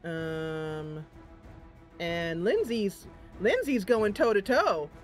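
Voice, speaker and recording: A woman's voice: fairly low pitch, animated, drawn-out words, soft background music. A woman giving casual, chatty let's play commentary, thinking aloud and reacting as she plays. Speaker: gaming channel host.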